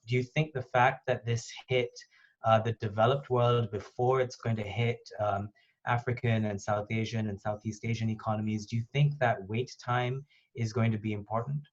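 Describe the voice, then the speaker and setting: A man's voice: mid-range voice, fairly even intonation, normal speed. A man moderating a panel discussion as host of a conference call. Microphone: conference call line. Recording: dull, band-limited conference call line.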